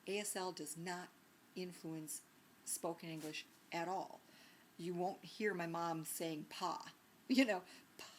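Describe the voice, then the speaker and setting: A woman's voice: fairly low pitch, fairly even intonation, slow pace, a little hiss or hum. A woman teacher giving a recorded lecture in a conversational way, with many ums and restarts and frequent rhetorical questions.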